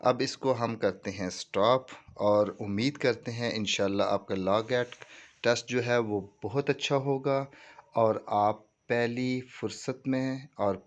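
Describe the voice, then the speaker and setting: A man's voice: mid-range voice, steady tone, unhurried, lo-fi sound. A man with a Pakistani accent giving an informal step-by-step tutorial, mixing in English terms and often checking that listeners follow. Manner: repeats reassuring check phrases, mixes in English terms. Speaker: tutorial presenter. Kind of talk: informal screen-walkthrough tutorial, explaining step by step.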